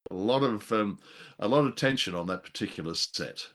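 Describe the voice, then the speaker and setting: A man's voice: fairly low pitch, expressive, moderate pace, fairly bright tone. A man co-hosting a show, chatting about a classic film in a hesitant, musing way with a playful, self-deprecating streak. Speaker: podcast co-host. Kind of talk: casual discussion of a classic film.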